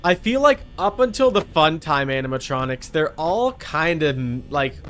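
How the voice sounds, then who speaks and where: A man's voice: fairly high pitch, big pitch swings, a little slow, a bit bright. A man doing casual, chatty live gameplay commentary as a streamer, playfully exasperated with his chat.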